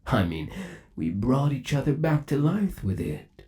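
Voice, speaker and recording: A man's voice: medium pitch, very animated, measured pace, a little mellow. A man playing a possessive, lovesick undead animatronic rabbit boyfriend in an ASMR roleplay, cooing in a doting, theatrical and sinister way. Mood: reassuring, possessive.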